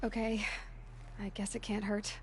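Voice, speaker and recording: A woman's voice: medium pitch, monotone, unhurried, slight background noise, close to an everyday voice. A woman voicing a young female hunter in a video game, asking direct, pointed questions. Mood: resolute.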